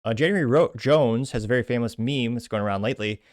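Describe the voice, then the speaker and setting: A man's voice: medium pitch, fast, a little mellow, dry recording. Man casually reading comments, dry close sound. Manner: rambling asides and self-corrections. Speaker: host.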